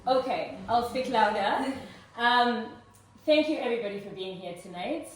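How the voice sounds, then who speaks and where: A woman in her forties from Uganda tells her personal story to a live audience at an event, earnest and warm, with frequent "um" fillers. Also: on the high side, normal speed. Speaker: speaker at a fundraising event. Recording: roomy echo, voice from far off, faint noise.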